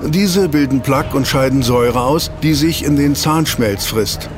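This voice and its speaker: A man's voice: mid-range voice, moderate pace, over music, natural voice. A man playing an eager researcher in a German audio drama, explaining to his team and teasing out reveals with rhetorical questions. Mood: explanatory.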